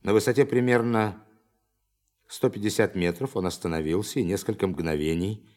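An actor playing an authoritative official giving a formal, emphatic briefing to scientists in a radio play. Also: male voice, lowish voice, a little mellow, lightly acted. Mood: measured, formal. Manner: formal, emphatic, commanding, dramatic pauses. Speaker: presenter of a demonstration.

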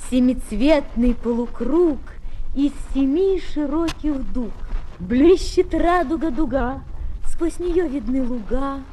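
A woman with a high, dark-mellow voice delivers children's verse slowly. The vintage recording carries faint background noise. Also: lively intonation. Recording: faintly noisy vintage flexi-disc.

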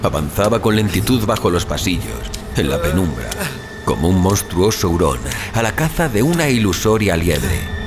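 Dramatic horror story narrator guy, lowish voice, really expressive, loud music under him. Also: normal speed.

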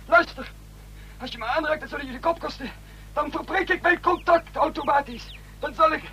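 A man's voice: extremely high pitch, a little fast, bright, forceful tone, exaggerated voice. An actor playing a cornered, desperate man in a radio play, frantic and repeating himself. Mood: frantic threats. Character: cornered, desperate man, panicky, indignant and threatening. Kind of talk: dramatic radio play acting. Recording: faintly noisy, humming radio play recording.

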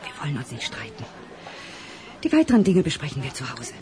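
A man's voice: fairly high pitch, huge pitch swings, a little fast, dark tone, some chatter behind. An actor playing a placating father in a radio play, talking in a coaxing, reasonable manner. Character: placating, persuasive middle-class father. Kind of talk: German radio play acting.

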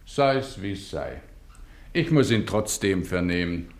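A stern Venetian magistrate in a radio play. He is formal and impatient, commanding with deliberate, slow-paced authority. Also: deep voice, sing-song, full character voice. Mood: probing. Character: stern, impatient male judge of the Venetian court. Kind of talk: German radio drama acting.

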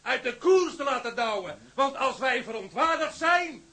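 An actor playing a plain-spoken, stubborn sailor taking charge of a crew meeting in a radio drama, blunt and colloquial. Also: male voice, very high voice, very animated, moderate pace, bright, forceful tone, full character voice. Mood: indignant, wry.

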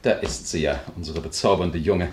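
An actor playing a smarmy, pushy middle-aged land buyer in a radio crime drama, speaking with flowery, hesitant politeness. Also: male voice, deep voice, moderate pace, noisy background, natural voice. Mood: unctuous.

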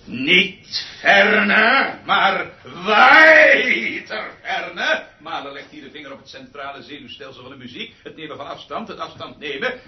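An actor playing a pompous, exasperated, tyrannical perfectionist conductor in a radio play, emphatic and theatrical. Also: male voice, sits high, expressive, measured pace, hardly any pauses. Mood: grave, obsessive. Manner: theatrical, emphatic, swinging from muttering to bellowing. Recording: muffled, noisy old radio play recording.

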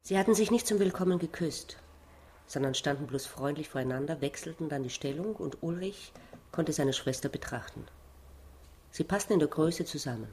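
A woman's voice: low-pitched, lively intonation, normal speed, darkish tone, slight background noise. A woman reading a literary novel aloud as narrator, with dialogue. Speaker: reader.

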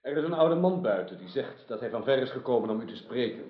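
An actor playing a dutiful knight in a radio drama, formally announcing at court. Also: male voice, medium pitch, lively intonation, quick pace, lightly acted. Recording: echoey, dull, faintly noisy radio recording.

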